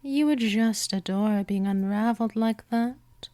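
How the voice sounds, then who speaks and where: A woman voicing a gentle but dominant, seductive vampire in a scripted hypnosis roleplay, teasing and coaxing, often slipping toward a whisper. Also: on the high side, unhurried, no room sound, natural voice. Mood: soothing, hypnotic.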